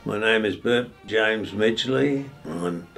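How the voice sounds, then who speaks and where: A man's voice: lowish voice, takes it slowly, vocal fry, a bit bright, soft background music. A man, recalling things in short, terse lists. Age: 90s. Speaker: interviewee. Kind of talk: reminiscing interview.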